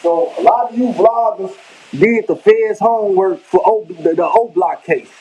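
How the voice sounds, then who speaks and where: A man's voice: high-pitched, moderate pace, warm tone, husky, rough recording. A man from Chicago with a Chicago accent, talking casually on a live vlog in a rambling, emphatic way. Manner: rambling, emphatic, slangy, repeats himself. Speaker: vlogger. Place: home kitchen.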